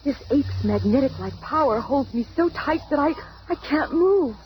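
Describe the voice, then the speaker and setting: An actress playing a plucky, earnest superhero heroine in a 1970s radio drama. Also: female voice, high-pitched, animated, moderate pace, highs cut off, a little hiss or hum. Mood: anxious. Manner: theatrical, earnest. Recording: music behind, old radio show.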